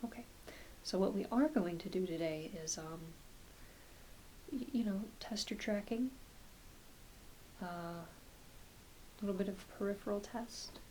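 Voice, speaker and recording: A woman playing a gentle, reassuring, slightly scattered doctor in an ASMR medical roleplay, speaking softly and haltingly, often trailing into a near-whisper. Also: medium pitch, close to an everyday voice, poor audio. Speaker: ASMR performer. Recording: close home recording with clear hiss.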